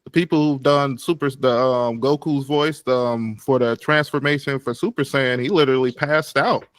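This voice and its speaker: A man's voice: medium pitch, normal speed, dry recording. A man hosting an anime podcast, asking questions in a casual, eager way full of fillers. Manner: enthusiastic, informal, with many fillers. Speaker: podcast host.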